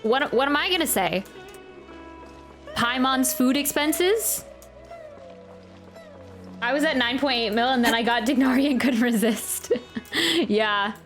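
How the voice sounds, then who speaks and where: Woman, fairly high voice, casual game reaction, rambling and chatty, jokey asides, faint music behind. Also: measured pace, long pauses, fairly bright tone. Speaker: streamer reacting to a video game.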